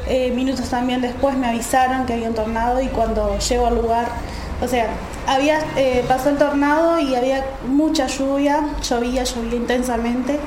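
A young woman with a Uruguayan accent, a student volunteer telling her story in a radio interview, halting with many fillers and restarts. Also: sits high, fairly even intonation, echoey room, noisy background. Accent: Uruguayan Spanish. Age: young adult.

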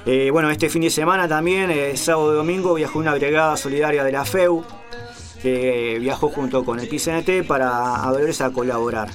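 A man hosting a radio program, reading a news report in a measured way with occasional fillers. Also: fairly high pitch, soft background music. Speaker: radio host.

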